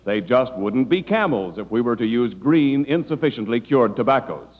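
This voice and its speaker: A man's voice: medium pitch, lively intonation, normal speed. A man reading a 1940s radio advertisement as announcer, with polished, emphatic salesmanship. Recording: muffled old radio broadcast, hall echo.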